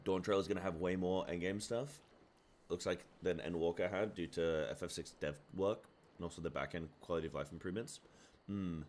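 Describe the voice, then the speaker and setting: Deep-voiced man rambling on a stream. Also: steady tone, a little fast, slight background noise. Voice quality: deep, carrying voice. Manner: rambling, thinks aloud and reads chat aloud; loud by habit. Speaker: live streamer. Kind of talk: casual live-stream chat. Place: apartment room at home.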